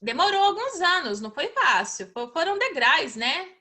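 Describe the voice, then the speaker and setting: A woman's voice: very high-pitched, big pitch swings, moderate pace, sharp tone. A woman with a Brazilian Portuguese accent, an English teacher hosting a casual mentoring chat, agreeable and full of little tag questions. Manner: agreeable, many filler tags and restarts. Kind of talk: casual conversation.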